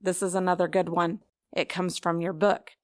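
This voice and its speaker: A woman co-hosting a book club chat, her voice hoarse and gravelly, laughing easily as she asks questions. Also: fairly low pitch, level tone, normal speed. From Kansas. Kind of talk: casual chat.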